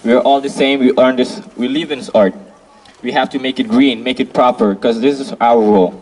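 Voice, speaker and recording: A young man answering an interview in a halting, quiet manner, correcting himself often. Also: medium pitch, fairly even intonation, poor audio.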